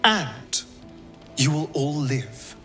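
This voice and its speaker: A theatrical male vampire plotting revenge in a game cutscene. His voice is sharp and fairly high, with slow, grandiose, persuasive delivery over music. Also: very animated, full character voice. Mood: grandiose, vengeful. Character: vengeful, theatrical vampire spawn man plotting to usurp his master. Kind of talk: video game cutscene acting.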